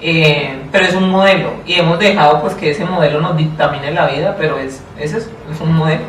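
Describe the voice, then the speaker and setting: A woman with a Colombian accent answering interview questions in a thoughtful, deliberate way, often repeating and rephrasing herself. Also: low-pitched. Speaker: interview guest, public education official. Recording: roomy, distant mic, faint noise.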